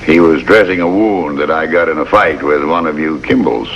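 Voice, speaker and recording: An actor playing an older, gruff but kindly country doctor in a 1950s television drama, plain-spoken and wry. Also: male voice, extremely low pitch, normal speed, fairly bright tone, muffled recording. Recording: noisy vintage 1950s television soundtrack.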